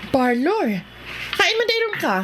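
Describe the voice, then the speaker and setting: A pushy, suspicious Visayan wife in a radio serial. Her high voice swings up and down impatiently, over faint noise. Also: big pitch swings, measured pace, a bit bright, heavily acted. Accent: Filipino, Visayan. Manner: teasing, pushy questioning. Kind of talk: radio drama acting.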